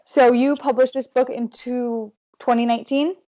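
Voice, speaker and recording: Woman, interviewer, brief affirmations, middle pitch, dull recording. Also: fairly even intonation, moderate pace, dark tone. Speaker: podcast host. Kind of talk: casual interview.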